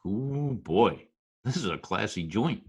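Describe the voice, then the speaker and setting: A man playing a wisecracking Broadway hustler who narrates his tale in old-time radio drama style, full of street slang and deadpan turns. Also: on the low side, slow pace, slight background noise, full character voice. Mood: amazed. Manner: folksy present-tense slang, deadpan storytelling. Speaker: narrator and supporting character. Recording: music behind, home video-call radio play.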